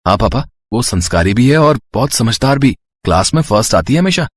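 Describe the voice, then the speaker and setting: Man acting a young student, earnest, boyish, husky voice, dry storybook recording. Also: medium pitch, expressive, fairly quick, dry recording. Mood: bashful. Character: friendly, earnest young male college student, rich builder's son.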